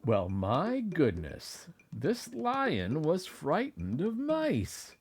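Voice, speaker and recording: A man narrating a children's bedtime story expressively, with dramatic, drawn-out phrasing. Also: a little high, wildly swooping pitch, husky. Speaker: parent reading a bedtime story. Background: music and added sound effects.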